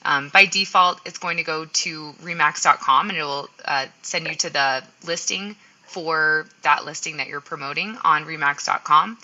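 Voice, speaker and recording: A woman presents a software tutorial in an upbeat, explanatory way. Her voice sits low for a woman but has a very bright, forward edge that keeps every step distinct. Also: normal speed, highs cut off. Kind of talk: live webinar walkthrough.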